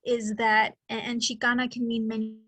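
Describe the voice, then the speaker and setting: A woman's voice: on the high side, monotone, normal speed, a bit bright, no room sound. A woman in her twenties co-hosting a podcast, talking in a reflective, rambling way with many fillers. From Mexican American. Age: 20s. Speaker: podcast co-host. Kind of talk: casual conversation.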